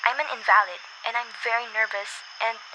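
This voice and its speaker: A woman's voice: fairly high pitch, fairly even intonation, a little fast, background noise, close to an everyday voice. A woman playing an imperious, nervous, bedridden wife in an amateur radio play, demanding and melodramatic. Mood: indignant.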